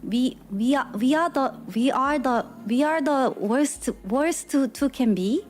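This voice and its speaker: A woman's voice: high-pitched, a little slow, darkish tone, faint music behind. A young woman from Korea, streaming and chatting casually with viewers, full of fillers and laughs.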